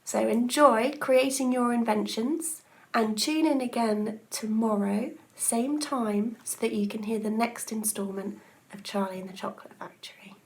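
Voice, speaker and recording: A woman's voice: on the high side, steady tone, unhurried, darkish tone, rough recording. A woman teacher speaking to children as a story reader, explaining a creative task. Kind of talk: reading to children and setting tasks.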